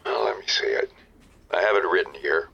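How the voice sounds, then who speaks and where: A man playing a slow, oblivious older husband muttering in the background of a comedy audio drama phone call. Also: a bass voice, expressive, gravelly, bright, forceful tone, husky, exaggerated voice. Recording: muffled, off from the phone.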